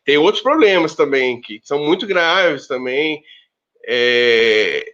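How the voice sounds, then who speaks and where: A man from Rio de Janeiro state speaking as a researcher on a panel, halting, with many restarts and fillers. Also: a little high, expressive, fairly bright tone, highs cut off. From Rio de Janeiro state, Brazil. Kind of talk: casual academic debate talk.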